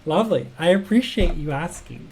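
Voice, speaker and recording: A man's voice: fairly high pitch, lively intonation, normal speed, gravelly, a little mellow, slightly rough. A man hosting a casual game jam livestream, chatting in a friendly way with many ums and uhs and answering viewers' questions from chat. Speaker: game jam stream host.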